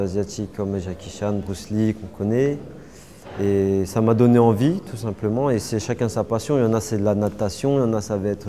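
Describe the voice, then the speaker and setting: A young man, answering interview questions casually, halting often with fillers and restarts. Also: on the low side, warm tone. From Paris suburbs, France. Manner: hesitant, many fillers and restarts. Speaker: interviewee, martial artist.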